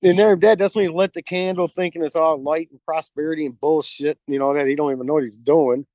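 A man's voice: on the high side, fairly even intonation, fast talker, darkish tone, no room sound, studio quality. A man, a paranormal investigator, telling rambling stories in casual chat, full of swearing and acted-out quotes. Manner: profane, rambling, acts out quotes.

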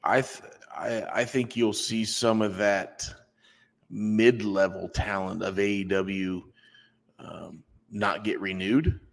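A low, gravelly man co-hosting a podcast, full of folksy jokes and halting restarts. His voice carries a long reverb tail. He sounds relaxed and offhand. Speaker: podcast co-host. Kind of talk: casual wrestling review chat.

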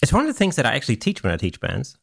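A man hosting a casual podcast, German by birth, talking in an animated way with frequent laughs and restarts. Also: medium pitch, very fast, gravelly, no room sound, studio quality. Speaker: podcast host.

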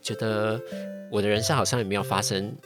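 A woman's voice: extremely low pitch, steady tone, brisk. A woman with a Taiwanese Mandarin accent chatting casually as a podcast guest. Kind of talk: casual chat. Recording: music playing underneath.

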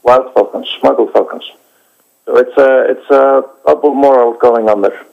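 A man from Iceland, a documentary director answering interview questions in a halting way, with many hesitations and restarts. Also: medium pitch, steady tone, creaky. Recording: dull lo-fi recording.

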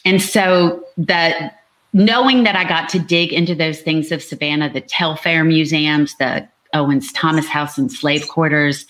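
A woman with a slightly low voice speaks as a novelist being interviewed. She is earnest and builds her points like stories, repeating phrases for emphasis. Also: a bit bright, rough recording. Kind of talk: animated book talk on an online panel.

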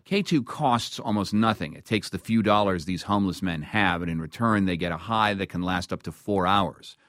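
A man hosting a radio news interview, moving between measured narration and questions to a guest. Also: low voice, a bit bright.

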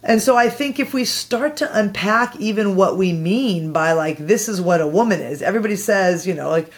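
Low-voiced woman thinking aloud. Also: normal speed. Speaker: podcast guest. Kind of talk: reflective conversational explaining.

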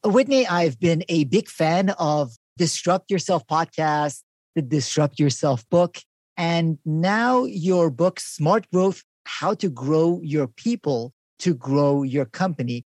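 A man's voice: a little high, expressive, takes it slowly, a little mellow, no room sound. A man hosting a podcast interview, asking long, appreciative questions. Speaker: podcast host.

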